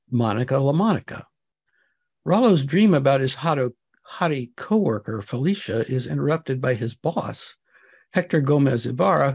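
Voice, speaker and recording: A man giving an expressive, wry and theatrical narration of comic fiction, as an author reading his own work. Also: mid-range voice, creaky, slightly rough.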